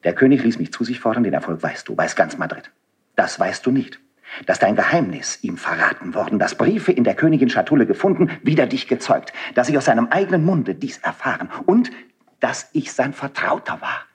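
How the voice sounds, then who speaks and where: An actor playing a noble, self-sacrificing friend of a prince in a classical verse radio drama, declamatory and emotional, moving between hushed and fervent delivery. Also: male voice, medium pitch, sing-song, fairly quick, slightly rough. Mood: grave confession.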